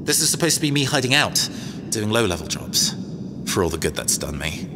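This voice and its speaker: Cocky but cagey mech engineer in sci-fi audio drama, wry, swingy voice, loud ambience. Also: male voice, lowish voice, big pitch swings, brisk, in a large hall, background noise. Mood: wry, recounting. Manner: wry, hesitant, conversational. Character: cagey, self-assured male robot engineer mercenary in hiding.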